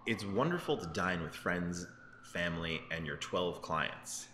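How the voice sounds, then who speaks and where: A man's voice: low-pitched, lively intonation, unhurried. A man in his thirties co-hosting a casual tech news chat, often breaking off mid-thought and checking for agreement. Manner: self-interrupting, conversational, seeks agreement with "right?". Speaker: co-host of a tech news show. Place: open-plan office, indoors on a couch. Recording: roomy, echoey office, faint background noise.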